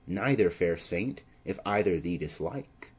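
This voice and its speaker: A man voicing an ardent, bold, lovestruck young nobleman in earnest, measured Shakespearean verse. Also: deep voice, lively intonation, husky, full character voice. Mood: tender.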